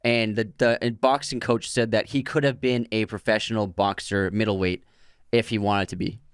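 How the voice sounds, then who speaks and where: Young male co-host, relaxed and jokey, clean smooth voice, dry sound. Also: fairly low pitch, no echo. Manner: easygoing, quick reactions and jokes. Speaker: podcast co-host. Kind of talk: casual film chat.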